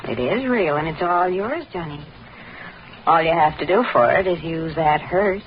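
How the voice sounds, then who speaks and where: An actress playing a cold, seductive, scheming wife in 1940s noir radio style, coaxing and deliberate. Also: female voice, deep voice, lightly acted. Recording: muffled, noisy 1940s radio recording.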